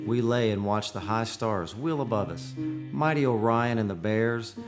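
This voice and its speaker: A man playing a wistful, dreamy photographer narrating an eerie seaside tale in a measured, poetic radio drama style. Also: fairly low pitch, close to an everyday voice. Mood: reflective. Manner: measured, poetic, solemn delivery. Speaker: actor, narrator.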